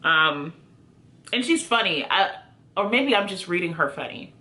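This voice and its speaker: A woman's voice: lowish voice, animated, unhurried, sharp tone. A woman talking casually to viewers as a book reviewer, chatty and informal with a self-deprecating way. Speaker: book reviewer video host. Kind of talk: casual chat to camera, answering prompts.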